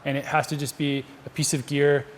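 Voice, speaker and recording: A man's voice: on the high side, level tone, brisk, slight background noise. Casual man in a very reverberant space. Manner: informal, conversational, with buddy-style slang. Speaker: video producer and gear reviewer. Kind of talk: casual unscripted gear review walkthrough. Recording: long hall reverb of a large space.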